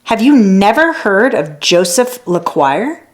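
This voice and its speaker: A woman's voice: medium pitch, very animated, slow pace. A woman voicing a stern, haughty female keeper of books in a children's story, with a terse manner. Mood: suspicious. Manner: cold, terse, clipped.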